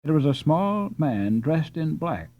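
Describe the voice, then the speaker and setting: A man narrating a children's classic audiobook in a measured, unhurried reading. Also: fairly high pitch, big pitch swings, phone-quality sound. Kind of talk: scripted storybook narration.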